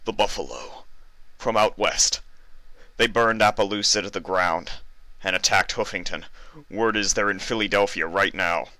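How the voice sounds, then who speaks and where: A royal guard pony in a storybook tale, acted by a man, badly frightened and out of breath. The delivery is shaky and halting, with a tense edge. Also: on the low side, bright, forceful tone, no echo, voice pushed up. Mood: fearful. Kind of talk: dramatised fan fiction reading.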